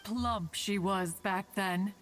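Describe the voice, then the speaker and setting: A woman's voice: medium pitch, takes it slowly, very noisy, close to an everyday voice. A woman voicing a proud, motherly immortal sage in a video game, with stately manner and archaic, formal phrasing. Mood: fond, teasing. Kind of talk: video game character acting, storytelling.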